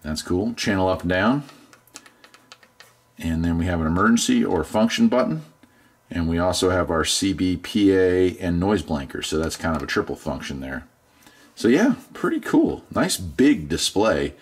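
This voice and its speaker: A man's voice: sits low, big pitch swings, normal speed. A middle-aged man chatting casually through a product unboxing in a laid-back, folksy way. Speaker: product reviewer, channel host.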